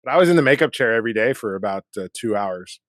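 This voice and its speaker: Brief: male actor guest, chatty and animated, filler-heavy, laughs a lot; dead room. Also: lowish voice, quick pace, no echo. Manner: animated, laughing often, many filler words. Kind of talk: casual chat.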